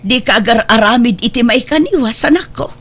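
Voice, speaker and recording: A woman's voice: a little high, animated, a little fast, a bit bright, slightly rough. A woman acting in a radio drama as a worried, middle-aged mother who moralizes to her married daughter. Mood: scolding, pleading.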